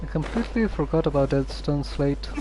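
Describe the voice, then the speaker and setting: A woman streamer giving casual, deadpan commentary as she plays a story game. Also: low-pitched, a little fast, noisy background. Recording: voice over game music.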